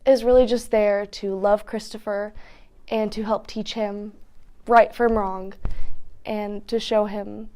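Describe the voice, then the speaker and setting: A woman's voice: mid-range voice, level tone, slow pace, dark tone. A woman in her late teens, a student actress, talking reflectively in an indoor interview. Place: indoors against a painted wall.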